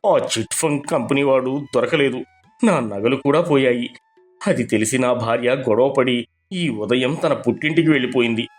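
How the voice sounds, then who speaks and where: A man playing a despairing, tearful friend who is ruined, in an animated moral story. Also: mid-range voice, moderate pace, soft background music, close to an everyday voice.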